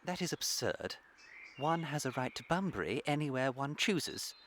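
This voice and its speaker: A man's voice: mid-range voice, animated, normal speed, a bit bright, a little hiss or hum, natural voice. A man with a British accent playing a witty, unrepentant young Victorian dandy in a radio comedy, with a flippant, theatrical manner. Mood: breezy, teasing. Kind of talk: scripted radio comedy acting.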